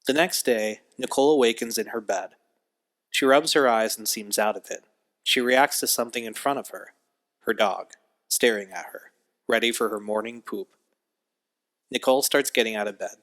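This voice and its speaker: A man's voice: mid-range voice, normal speed, a bit bright. A man narrating an audio drama from a script, describing the action in a matter-of-fact way.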